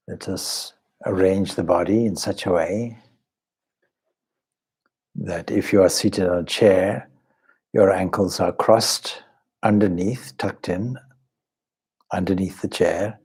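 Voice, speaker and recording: A man leading a guided meditation as a teacher, speaking in measured, deliberate short phrases with pauses and a soft, husky voice. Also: deep voice. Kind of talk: guided meditation, slow spoken instruction.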